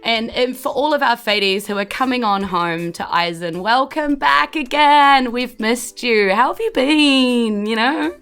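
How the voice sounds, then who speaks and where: A woman's voice: a little high, animated, a little slow, few pauses, a bit bright, smooth, faint music behind. A woman with a New Zealand accent, chatting in a bubbly, welcoming way as podcast host and dungeon master. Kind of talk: chatty podcast hosting of a tabletop game.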